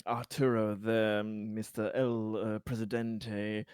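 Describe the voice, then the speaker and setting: A man playing a tabletop roleplaying game, improvising casual in-character talk in a halting, stammering, wordy way. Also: lowish voice, little pitch movement, a little mellow, smooth, no room sound, studio quality.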